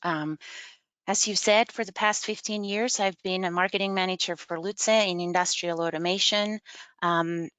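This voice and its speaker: A woman in her forties from Finland, with a Finnish accent, speaking on a webinar panel, thoughtful and pausing often with ums. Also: fairly low pitch, steady tone, a bit bright, clear, resonant tone, no room sound. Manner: thoughtful, many ums and pauses. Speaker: panelist, marketing manager. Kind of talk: casual talk on a panel.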